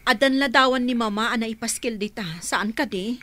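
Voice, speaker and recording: An actress playing a chatty, animated woman on a phone call in a radio drama. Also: on the high side, fairly quick, close to an everyday voice.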